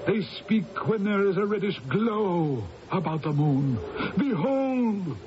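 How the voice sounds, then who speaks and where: Casting: vengeful druid high priest, declamatory and ominous, high pitch, muffled old radio sound. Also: male voice, animated, slow pace, background noise. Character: fanatical, vengeful archdruid of ancient Britain. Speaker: radio actor. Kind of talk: 1980s radio drama acting.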